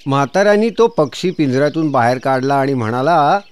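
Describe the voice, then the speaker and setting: A man's voice: medium pitch, lively intonation, fairly quick, slight background noise. A man narrating a scripted children's fairy tale as storyteller.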